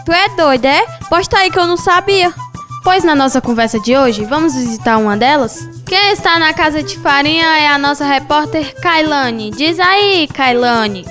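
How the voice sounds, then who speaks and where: Young female student presenter, high-pitched, Ceará accent, scripted radio style, music bed. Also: lively intonation, moderate pace, fairly bright tone. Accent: Northeastern Brazilian, Ceará. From Ceará, Brazil. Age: child or young student. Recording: music playing behind the voice.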